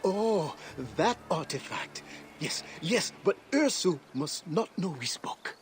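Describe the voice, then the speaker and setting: A sly, skittish curio dealer in an ancient-world game. His fairly high voice leaps up and down as he fawns, then sinks to conspiratorial hushes. Music runs under him. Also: sing-song, takes it slowly, full character voice. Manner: fawning then hushed and secretive. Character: nervous, sly male antiquities merchant.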